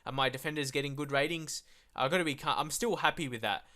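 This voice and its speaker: Young male gamer, bright tone, stuffy nose, loose chatty commentary. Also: a little high, fast. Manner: casual rambling with many fillers. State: congested, blocked nose. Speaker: gaming YouTuber. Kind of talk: live game commentary.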